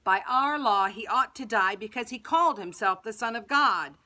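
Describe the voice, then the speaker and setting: Woman voicing a stern, scheming high priest, bright and insistent. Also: lively intonation, moderate pace. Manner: insistent, accusing, emphatic.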